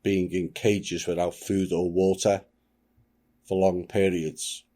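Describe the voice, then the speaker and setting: Grave male host, very low voice, unhurried solemn reading. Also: flat delivery, dark tone. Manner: grave, measured delivery. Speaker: channel host. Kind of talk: solemn reading of a submitted account.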